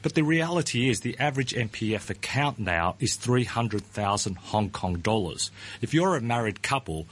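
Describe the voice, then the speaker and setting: Man, middle-aged, guest on a talk show, husky, hedging delivery. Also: medium pitch, lively intonation, normal speed, a bit bright. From Australia. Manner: hedging, often starts with "look", repeats words. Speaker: pension ratings firm founder. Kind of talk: radio interview guest.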